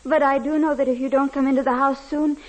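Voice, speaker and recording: An actress playing a polite, simple French housemaid in a 1940s radio drama, deferential and plain-spoken. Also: female voice, high voice, flat delivery, quick pace, lo-fi sound, close to an everyday voice. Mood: polite, admiring. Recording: noisy vintage 1940s radio broadcast.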